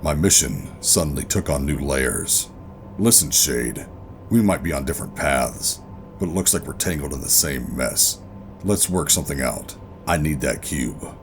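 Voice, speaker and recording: An actor playing a hardened, wary operative who narrates a sci-fi horror audio drama in a brooding, deliberate, terse way. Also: male voice, rumbling low, lively intonation, background noise. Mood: firm, calming. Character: hardened covert operative narrating, adult man, wary and steady. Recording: music behind the voice.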